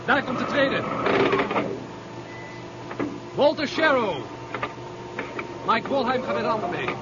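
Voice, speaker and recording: An actor playing a brisk, practical naval subordinate who questions his orders, in a 1970s science fiction radio drama. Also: very high voice, lively intonation. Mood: dutiful. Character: brisk, doubting male naval subordinate officer. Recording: dull, noisy 1970s radio recording.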